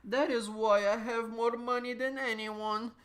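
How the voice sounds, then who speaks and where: A woman's voice: on the high side, steady tone, unhurried, pitched above the performer's own voice, lightly acted. A woman voicing a towering, self-important male author in a tuxedo, boastful and pompous, in a comic story.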